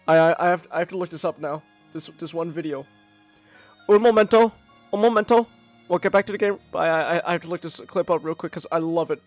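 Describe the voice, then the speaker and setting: A man's voice: a little high, a little mellow. Stammering young male streamer. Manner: stammering, repeats words, chuckles. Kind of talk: reading a visual novel aloud with asides.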